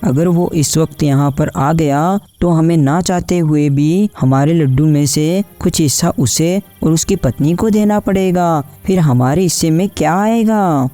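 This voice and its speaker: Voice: medium pitch, a little slow, few pauses, dark tone, faint music behind, natural voice. An actor voicing a poor but clever village husband, earnest and honest, in a cartoon story. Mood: secretive, worried. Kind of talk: Hindi cartoon story acting.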